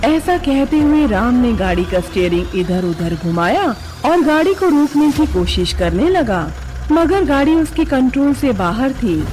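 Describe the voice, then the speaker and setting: High-pitched, expressive woman narrator. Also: noisy background. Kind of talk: scripted horror story narration. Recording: loud music bed behind voice.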